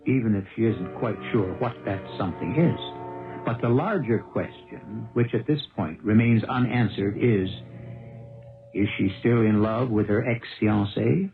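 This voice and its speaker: An American man acting as a grave, musing host, narrating a 1970s radio mystery with deliberate, rhetorical delivery. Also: on the low side, vocal fry. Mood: musing, portentous. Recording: tinny old radio broadcast with music.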